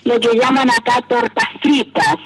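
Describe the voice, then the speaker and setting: An older woman from Chile with a Chilean accent, a guest in a radio interview who speaks hesitantly with many fillers and soft laughs. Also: a little high, little pitch movement, normal speed, very noisy.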